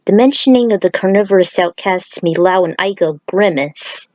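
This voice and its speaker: A woman's voice: lowish voice, normal speed, darkish tone, dry recording. A woman reading a story aloud as narrator, occasionally stumbling over a word. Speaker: fan fiction reader.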